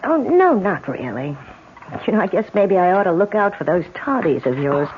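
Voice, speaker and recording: An actress playing a sensitive, anxious young American bride in a radio drama. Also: female voice, on the low side, sing-song, moderate pace, a little hiss or hum. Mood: subdued, uneasy. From United States. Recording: tinny old radio broadcast, music behind.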